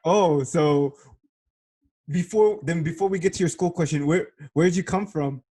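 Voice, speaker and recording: A man's voice: a little high, normal speed, warm tone, breathy edge. A man hosting a podcast in a casual, chatty interview style, with a teasing, joking manner. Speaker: podcast host.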